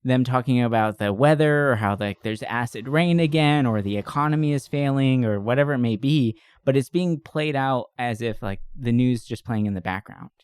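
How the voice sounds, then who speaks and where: Male podcast co-host, mid-pitched, rambling and enthusiastic, giggles easily, casual review chat, very dry recording. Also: moderate pace, few pauses, clean tone, dry recording.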